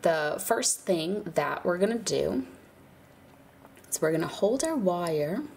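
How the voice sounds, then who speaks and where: Low-voiced woman with a stuffy cold. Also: slow pace, vocal fry. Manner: chatty and relaxed, with fillers and small laughs. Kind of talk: casual hands-on tutorial narration. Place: indoors at a craft table, birds outside.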